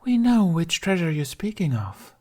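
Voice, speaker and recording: Male narrator as seductive mermaid woman, raised pitch, coy, purring, teasing, fantasy audiobook. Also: big pitch swings, normal speed, dark tone. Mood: coolly bargaining.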